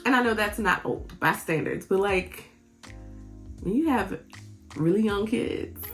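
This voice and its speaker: A woman's voice: medium pitch, animated, measured pace, soft background music. A woman in her forties, a vlogger and health coach, talking candidly and sounding tired, laughing often and restarting her sentences. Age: 40s. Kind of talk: candid personal vlog.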